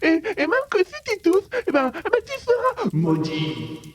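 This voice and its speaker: A woman's voice: extremely high pitch, wildly swooping pitch, a little slow, long reverb tail, voice pushed up, full character voice. A woman voicing a very young, possessive, gleeful little girl monster with babyish, repetitive speech in a children's audio story. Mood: possessive, threatening.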